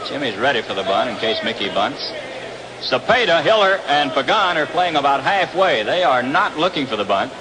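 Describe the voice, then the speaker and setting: A man's voice: mid-range voice, sing-song, moderate pace. American baseball radio announcer, man, noisy broadcast. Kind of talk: live radio play-by-play commentary. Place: outdoor baseball stadium. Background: loud ambient ballpark noise.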